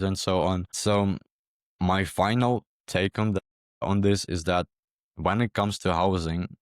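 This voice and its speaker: Low-voiced young man from Ukraine. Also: smooth, no echo, clean studio sound. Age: young adult. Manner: hesitant, repeats words, many fillers. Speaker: interview guest, former exam candidate. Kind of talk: casual interview talk.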